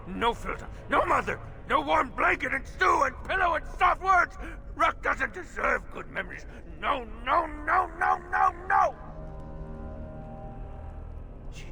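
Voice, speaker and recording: An actor playing a half-mad, frightened scavenger hermit in a video game, stammering, muttering and repeating himself in broken speech. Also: male voice, high-pitched, vocal fry, exaggerated voice. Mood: anguished, pleading. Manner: stammering, repetitive, muttering in broken third person. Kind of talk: video game character acting.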